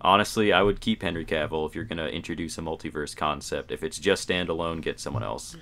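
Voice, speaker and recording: Deep-voiced man chatting on a podcast. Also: quick pace, a bit bright. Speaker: podcast co-host. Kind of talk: casual opinionated chat.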